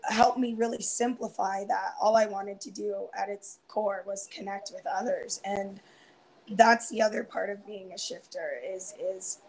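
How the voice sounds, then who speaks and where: A woman in her twenties, a guest on a video call, talking softly and hesitantly with many ums, her voice tiring and going hoarse. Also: medium pitch, steady tone, a little slow, low-quality recording. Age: 20s. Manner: soft-spoken, hesitant, many ums and restarts. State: losing her voice. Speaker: guest on a live round-table stream. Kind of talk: casual personal sharing. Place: indoors, home room. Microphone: earphone headset on a video call.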